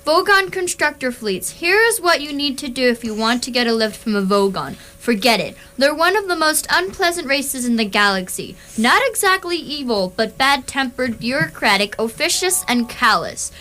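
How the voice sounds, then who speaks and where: Bright female voice actress, fairly high, electronic guidebook, dry, matter-of-fact, radio comedy narration.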